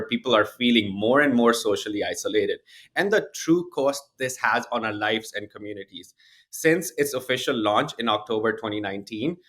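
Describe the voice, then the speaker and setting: A man's voice: mid-range voice, a bit bright. A man who grew up in South Asia, hosting a podcast and giving a friendly, partly scripted introduction and questions. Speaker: podcast host.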